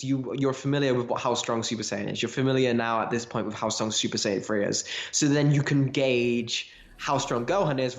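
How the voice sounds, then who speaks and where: Male podcast co-host, mid-pitched, informal and eager fan talk that rambles along, captured in an echoey room. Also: highs cut off. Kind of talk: casual fan discussion. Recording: roomy, echoey home recording.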